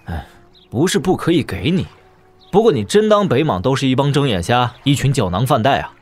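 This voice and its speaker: A wry, expressive lord. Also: male voice, medium pitch, brisk, soft background music. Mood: mocking. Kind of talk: Chinese wuxia audio drama acting.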